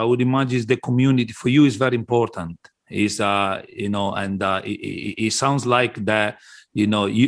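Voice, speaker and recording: A man hosting a conversational interview podcast, phrasing his questions in a halting way with pauses. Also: lowish voice.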